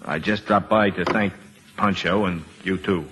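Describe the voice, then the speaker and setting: An actor playing a gruff, guarded war veteran, terse and halting, with a husky voice, in an old-time radio drama. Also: male voice, deep voice, fairly even intonation, background noise. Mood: awkward. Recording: reverberant old radio broadcast.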